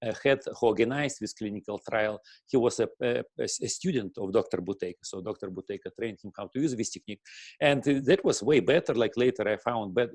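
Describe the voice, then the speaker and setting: A middle-aged man from Russia with a Russian accent, a guest answering questions in halting speech full of fillers and restarts, often clearing his throat. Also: lowish voice, darkish tone, dry recording. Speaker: guest, breathing teacher. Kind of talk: casual interview answer.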